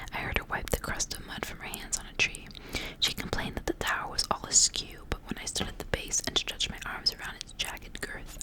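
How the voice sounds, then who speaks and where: Female ASMR storyteller, fully whispered, hushed and deliberate delivery of literary fairy-tale prose. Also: poor audio. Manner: soft unvoiced whisper, hushed and deliberate, phrase by phrase.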